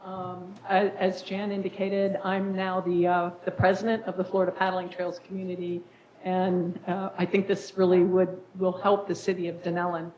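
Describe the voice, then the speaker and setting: A woman with a raspy voice who directs a nonprofit, presenting informally to a city council with frequent fillers. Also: fairly low pitch, monotone, normal speed, dull audio. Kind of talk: public presentation at a council meeting. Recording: echoey council chamber.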